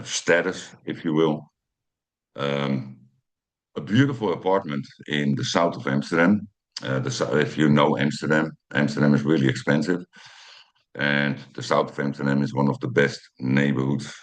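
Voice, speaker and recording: A Dutch man in his fifties, speaking English as a second language, telling a personal story to camera with many pauses and fillers while glancing at notes. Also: extremely low pitch. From Netherlands. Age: 50s. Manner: hesitant, many ums and uhs, reads from notes. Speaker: channel host.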